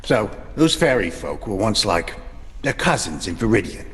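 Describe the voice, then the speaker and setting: A man playing a rugged northern clansman sage in a fantasy audio drama, hearty but distracted, with a halting, exclamatory way of talking. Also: lowish voice, big pitch swings. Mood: distracted, explaining. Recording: long hall reverb, music underneath.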